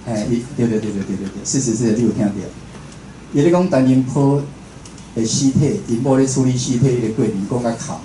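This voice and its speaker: A man's voice: mid-range voice, brisk, warm tone. A man with a Taiwanese accent giving an informal talk as a radio host, conversational, repeating phrases and putting questions to his listeners. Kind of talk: informal public lecture. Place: indoor room, speaking to an audience. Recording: roomy echo, faint background noise.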